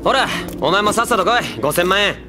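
A man playing a brash, hot-tempered, foul-mouthed teenage zombie boy in an anime audio drama, talking in rough slang. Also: high voice, expressive, fairly quick, noisy background. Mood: impatient. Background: loud music.

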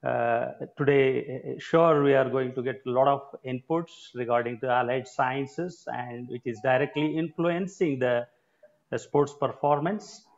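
Halting older Indian man on a video call. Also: mid-range voice. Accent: Indian English. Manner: halting, with many filler sounds. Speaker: conference advisor and retired sports coach. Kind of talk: keynote remarks at an online conference. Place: home room with shelves of trophies. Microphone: laptop or earphone mic on a video call. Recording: muffled video call audio.

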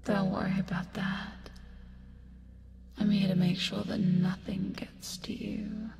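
An eerie, tender female demon acted by a woman whispers a hypnotic ASMR roleplay over music. Her breathy words are drawn out extremely slowly, coaxing the listener in an even tone. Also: medium pitch. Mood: soothing, possessive. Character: eerie yet soothing female sleep paralysis demon.

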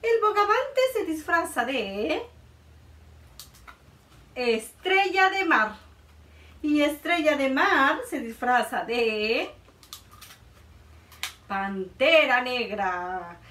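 Slow, lively woman reading a storybook. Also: high voice, reverberant, recorded from a distance. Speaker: children's librarian. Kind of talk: reading a picture book aloud to children. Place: small room indoors, before a board.

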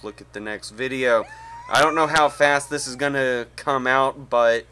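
A young man hosts a casual video chat in a bright, forward voice. He rambles and halts as he goes. It is picked up by a webcam mic in a small room. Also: medium pitch, fairly even intonation, normal speed, faint music behind. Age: young adult. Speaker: video host.

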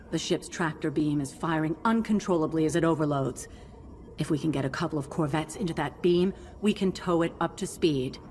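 A woman playing a grave, resolute alien general who briefs pilots in a measured, commanding way in a video game cutscene. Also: low voice, slight background noise, close to an everyday voice.

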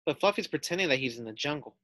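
A man's voice: on the high side, lively intonation, rapid-fire, sharp tone, no room sound. A man reading a children's storybook aloud as a teacher, expressively, with explanatory asides and questions to pupils.

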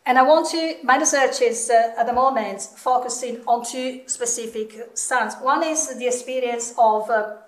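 A woman's voice: fairly high pitch, moderate pace, few pauses. A middle-aged woman with a Southern European accent, giving an informal seminar talk in a candid, emphatic manner. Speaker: seminar speaker, researcher. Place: indoor seminar room with seated audience. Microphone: microphone on a stand. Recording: echoey room, some distance from mic.

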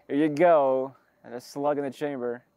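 A man giving a rambling, wry personal monologue, halting and often interrupting himself as he talks. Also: medium pitch, steady tone, warm tone, clean tone, no echo. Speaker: creator of an audio log channel.

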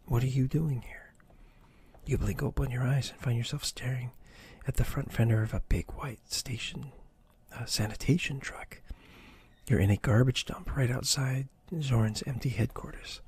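A man reading an adventure gamebook aloud in a soft, breathy ASMR whisper, stopping to choose pages. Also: medium pitch, fairly even intonation, moderate pace, a little hiss or hum. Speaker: reader of a choose-your-own-adventure book.

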